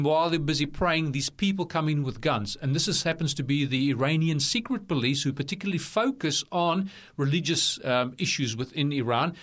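A man's voice: fairly high pitch, fairly bright tone. A male radio host, talking in a studio and recapping a guest's story in a friendly, chatty way. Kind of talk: radio interview.

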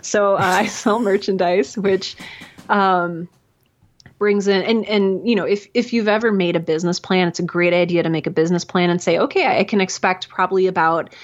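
A woman's voice: mid-range voice, fairly even intonation, normal speed, highs cut off. A woman podcaster chatting in an interview, talking freely with lots of fillers and restarts. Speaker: podcaster and audio drama producer. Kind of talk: casual interview conversation.

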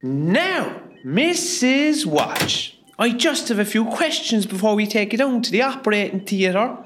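A woman's voice: mid-range voice, big pitch swings, measured pace, noisy background. A woman playing a friendly male nurse in a radio comedy, polite and reassuring. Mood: friendly, businesslike. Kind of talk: comedy radio play acting.

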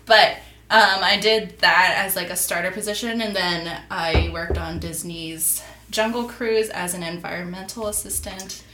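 A woman's voice: lowish voice, a little slow, sharp tone, echoey room, soft background music, rough recording. A twenty-six-year-old woman co-hosting a podcast, telling her story in a casual, self-deprecating way with laughs and fillers. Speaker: podcast co-host. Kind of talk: casual chat.

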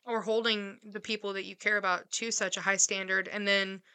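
A woman in her twenties speaks earnestly and reassuringly, and her pace quickens when she gets excited. Her voice is very bright and sharp-edged, smooth and clear in tone. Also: mid-range voice. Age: 20s. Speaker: podcast co-host. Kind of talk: casual chat.

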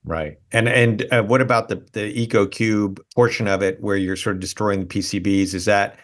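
Host guy with a lowish voice, asks long wandering questions. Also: steady tone, normal speed. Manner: rambling, hedged questions with repeated words. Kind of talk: interview questions.

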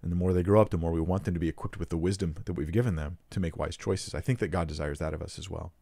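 Low-voiced earnest man on a dry mic. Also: steady tone, rapid-fire, vocal fry, dark tone, no room sound. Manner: earnest, frequent restarts, emphatic rises. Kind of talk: casual unscripted teaching talk.

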